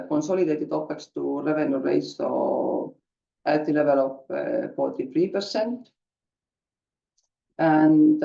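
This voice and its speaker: A man with a relaxed, mellow tone and a somewhat high pitch presents company financials on an earnings call. He speaks slowly and haltingly, with many filler sounds. The audio is muffled and roomy. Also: vocal fry. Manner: hesitant, halting delivery with frequent filler sounds. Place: conference call.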